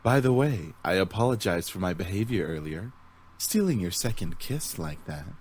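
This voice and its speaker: Breathy, seductive teasing incubus man. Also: low-pitched, animated, a little mellow. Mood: smooth flirting. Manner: teasing, seductive drawn-out phrasing. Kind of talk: visual novel character acting.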